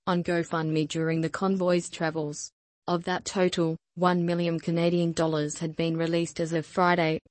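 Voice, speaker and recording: A woman reads the news in a low, steady voice with almost no rise and fall. Her delivery is even and scripted, like a newsreader. The voice-over is dry and close to the mic. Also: dry recording. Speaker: news reader.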